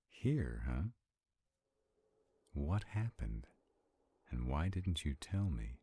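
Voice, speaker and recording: Man playing ancient male vampire, extremely low voice, husky, haughty, brooding, slow, audio roleplay. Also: warm tone, heavily acted. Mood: skeptical, dismissive. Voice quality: gravelly, husky. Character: arrogant centuries-old male vampire, protective, skeptical.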